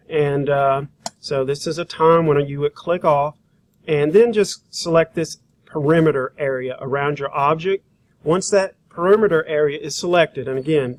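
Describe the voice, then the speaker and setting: Guy teaching design software, kinda high voice, folksy, talks it through as he goes, bit halting. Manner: folksy, halting, thinking aloud while demonstrating. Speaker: graphic design instructor. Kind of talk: informal software tutorial demonstration.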